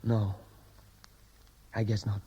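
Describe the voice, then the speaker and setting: A man's voice: fairly low pitch, little pitch movement, takes it slowly, warm tone, husky, lo-fi sound, heavily acted. An actor playing a wry, restless Welsh emigrant son home from America, laconic and teasing, his Welsh accent tinged with American, in 1960s radio drama style. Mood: dry, probing.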